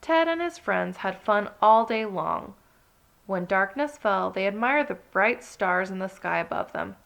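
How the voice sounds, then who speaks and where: Lady reading a kids book aloud, super expressive, bit of creaky fry, dramatic emphasis. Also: mid-range voice, unhurried. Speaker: storybook narrator. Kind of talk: children's read-along narration.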